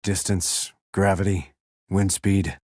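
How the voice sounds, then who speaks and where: A man playing a terse, calm military sniper in a video game, clipped and controlled, reporting in tactical radio calls. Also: slow pace, dry recording, clean studio sound. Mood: focused, terse. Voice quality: low, husky. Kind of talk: tactical radio call acting.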